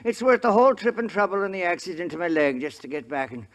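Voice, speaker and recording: An actor playing a blarneying Irish rogue in a 1950s radio Western, theatrical, wheedling and self-pitying, on a noisy old recording. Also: male voice, high voice, big pitch swings, fast talker. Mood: feeble, wheedling. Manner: theatrical, cajoling.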